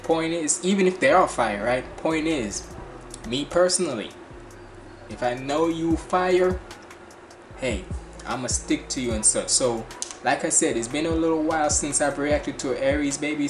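Young Jamaican man hosting a casual reaction stream on webcam, quick slangy delivery, roomy bedroom sound, music in background. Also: a little high. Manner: fast, animated, slangy chatter with frequent restarts. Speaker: reaction channel host. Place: small bedroom indoors. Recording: echoey room with music playing.

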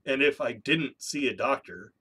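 A man's voice: mid-range voice, expressive, sharp tone, slightly rough. Slightly nasal man with a cold. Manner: candid and measured, with dry self-deprecating asides. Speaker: YouTube channel host. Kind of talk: personal vlog monologue. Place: small home room with bookshelves, indoors. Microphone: webcam in front of a seated speaker.

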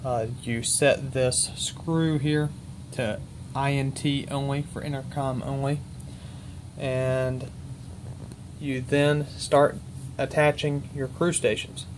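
A man's voice: medium pitch, flat delivery, slow. Methodical man presenting gear over background noise. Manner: methodical, matter-of-fact. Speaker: presenter demonstrating equipment. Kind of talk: informal technical walkthrough.

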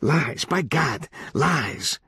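Casting: male reader playing stubborn young frontier lad, audiobook, curt and accusing, rough-edged husky tone, dry close recording. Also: medium pitch, big pitch swings, fairly quick, a bit bright, no echo, voice pushed up, heavily acted. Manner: terse, rustic, accusing. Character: defiant, wary young frontier kid, male. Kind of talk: literary audiobook character voice.

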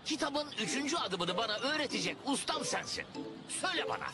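A man's voice: very high voice, very animated, a little fast, heavily acted. A man voicing a short-tempered animal dad in a cartoon, with an exasperated, complaining manner. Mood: restrained. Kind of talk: animated cartoon comedy acting. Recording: loud music behind the voice.

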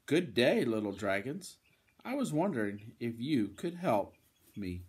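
Man playing an old male wizard, storybook, kindly, courteous, husky, measured. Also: medium pitch, very animated, darkish tone. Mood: polite.

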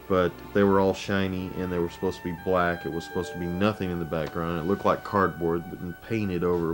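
A man, an amateur actor, casually reminiscing in an interview. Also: low-pitched.

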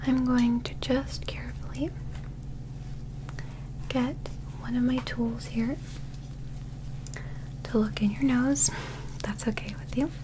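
A young woman voicing a calm alien doctor in a soft ASMR medical roleplay, speaking carefully and reassuringly in a whisper. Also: on the high side, noisy background. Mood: calm, clinical. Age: 20s. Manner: slow, careful, clinical, reassuring. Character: calm female alien doctor examining a human.

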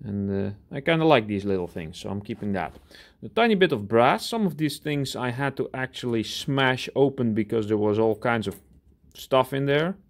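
A man talking casually through a hands-on demonstration in a workshop, halting and thinking aloud as he goes. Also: medium pitch, lively intonation. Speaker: hobby scrapper presenting his own video. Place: indoor cluttered workshop.

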